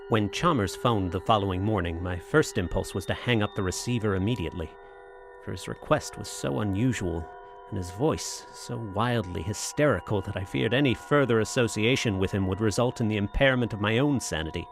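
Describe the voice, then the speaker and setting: An actor playing a sensible, skeptical gentleman in a horror audio drama, speaking with formal, measured, literate diction. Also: fairly low pitch, creaky, faint music behind. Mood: sober. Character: skeptical, proper gentleman friend and narrator, sensible.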